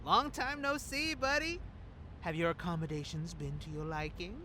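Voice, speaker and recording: A man's voice: fairly high pitch, moderate pace, pushed and bright, a little hiss or hum. A man playing a cocky, flirtatious secret agent in an audio drama, with a theatrical, teasing delivery. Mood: playful.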